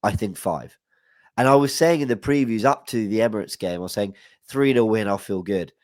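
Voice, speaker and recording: A man's voice: fairly low pitch, fairly quick, no room sound, clean studio sound. A young man guesting on a football podcast over a video call, bunged up from hay fever, nervous and rambling, with lots of apologies. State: stuffy nose from hay fever. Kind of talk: casual football podcast chat. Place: home room, video call. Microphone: studio mic with headset.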